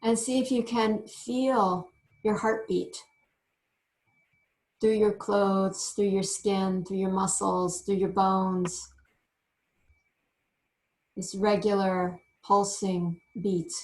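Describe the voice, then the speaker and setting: A woman teaching yoga meditation, giving gentle instructions in short phrases with frequent pauses. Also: medium pitch, little pitch movement, poor audio. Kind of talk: guided meditation.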